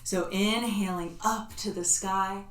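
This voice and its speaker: A woman leading a yoga class, giving calm, soothing step-by-step cues. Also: medium pitch, takes it slowly. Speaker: yoga instructor. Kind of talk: guided yoga class instruction. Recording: roomy echo, voice from a distance.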